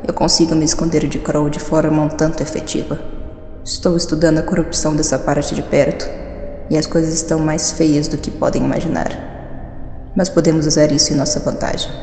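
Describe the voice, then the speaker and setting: A sentient program voiced by a woman speaks in a low, mellow female voice for an audio drama. She is formal, measured and quietly sly, with gravel at the edges, in a reverberant space. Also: flat delivery. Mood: composed, cool. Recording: echoey reverberant dream-space effect.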